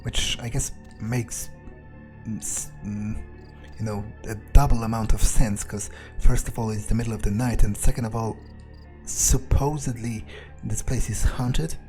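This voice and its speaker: A cynical, jittery vampire played by a man talks to himself through a video game, with music clearly playing behind him. He takes his time, muttering and hesitating, and swears freely. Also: lowish voice, fairly even intonation, a little slow, darkish tone, natural voice. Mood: wary, resigned. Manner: muttering, hesitant, foul-mouthed. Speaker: gamer playing in character. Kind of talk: in-character video game playthrough monologue.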